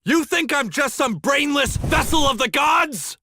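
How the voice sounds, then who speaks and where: A man's voice: very high-pitched, animated, measured pace, pushed and bright, raised voice, a little hiss or hum, exaggerated voice. An actor voicing a blunt, hot-tempered young male hero in a fantasy video game. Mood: indignant. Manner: blunt, incredulous, informal.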